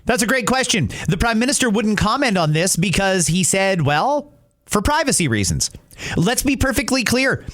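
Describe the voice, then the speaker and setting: Male talk host, high-pitched, indignant, firing off rhetorical questions. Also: big pitch swings, moderate pace, fairly bright tone. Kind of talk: political commentary.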